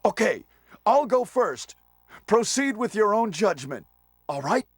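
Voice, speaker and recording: An earnest young soldier in an old video game cutscene, speaking in a high voice that leaps wildly up and down yet crawls along slowly. His delivery is wooden and stilted, with a husky edge and dry, close sound. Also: very animated, no room sound, exaggerated voice. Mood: commanding. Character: young male special forces soldier, earnest and stiff.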